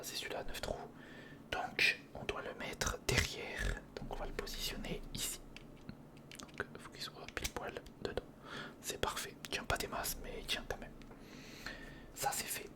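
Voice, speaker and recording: A man whispering in a breathy, crackly voice, casually murmuring a step-by-step ASMR commentary as he thinks aloud. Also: moderate pace, long pauses. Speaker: ASMR video creator.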